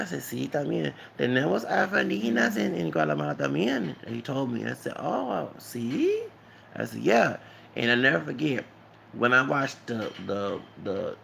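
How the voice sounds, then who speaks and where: Gravelly-voiced man rambling on a vlog. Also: medium pitch, a bit bright, a little hiss or hum. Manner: rambling, repeats words, frequent "okay?" tag, switches into Spanish. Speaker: vlogger. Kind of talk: casual storytelling monologue.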